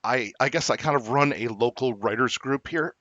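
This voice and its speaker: A middle-aged man co-hosting a podcast in casual chat, rambling with many halting restarts and fillers. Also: medium pitch, bright, forceful tone, no room sound. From New Jersey. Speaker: podcast co-host. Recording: dull lo-fi dry recording.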